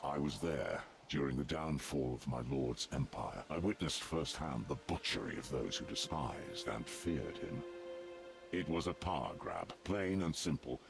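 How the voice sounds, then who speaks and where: An actor playing a solemn, devout ancient servant of a dark god in a fantasy video game, speaking formally and gravely. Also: male voice, full character voice. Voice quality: very deep, gravelly. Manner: formal, grave, measured and declarative. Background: music.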